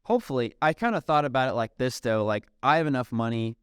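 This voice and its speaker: A man, chatting casually as a podcast guest, relaxed and peppered with "um" and "dude". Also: mid-range voice, fast, clean tone, no room sound, studio quality. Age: 30s. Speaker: podcast guest, software company CEO. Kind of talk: casual interview conversation.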